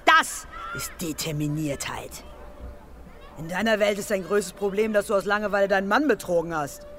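A woman's voice: mid-range voice, normal speed, fairly bright tone, a little hiss or hum, natural voice. An actress playing a blunt, scornful woman in a radio drama, confrontational and mocking in a colloquial way. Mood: accusing, scornful. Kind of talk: German radio drama dialogue.

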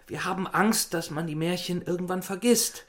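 A man's voice: high-pitched, normal speed, fairly bright tone. An actor playing a shy, earnest scholar in a children's radio play, hesitant and bashful in his speech. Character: shy, earnest young scholar and fairy-tale collector.

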